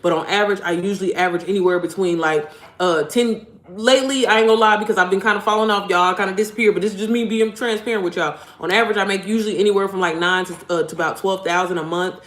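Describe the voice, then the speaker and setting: A woman's voice: fairly even intonation, fast, echoey room. A young woman, an online business coach giving a casual, blunt, profane motivational talk straight to her viewers. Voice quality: deep voice. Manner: blunt, profane, slangy, addresses viewers directly.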